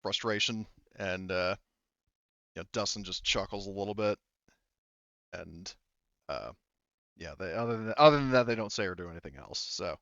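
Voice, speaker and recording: A man narrating a tabletop roleplaying game casually as game master, in a halting, conversational way with repeated words. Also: low voice, expressive, creaky, a bit bright, dry recording, dull audio.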